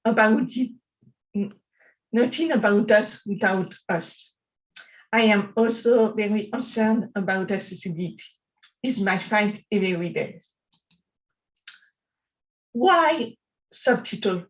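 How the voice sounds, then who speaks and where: Deaf French woman, conference speaker, slow careful delivery, slightly dull audio. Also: medium pitch. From France. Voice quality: deaf speech. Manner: slow, careful speech with pauses. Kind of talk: prepared conference talk.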